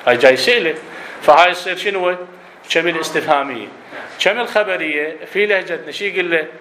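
Iraqi male teacher, bright, raised voice, quizzes his class, echoey room. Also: fairly high pitch, quick pace, a little hiss or hum. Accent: Iraqi Arabic. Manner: explanatory, asks students questions, slips into dialect. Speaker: grammar teacher. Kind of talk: classroom lesson on Arabic grammar.

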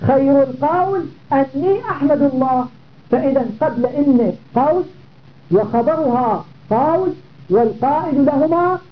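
A man's voice: very high voice, animated, normal speed. A man teaching a classical grammar lesson. He explains methodically, repeats key phrases and stops to check that students follow. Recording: tinny phone-like audio with faint noise.

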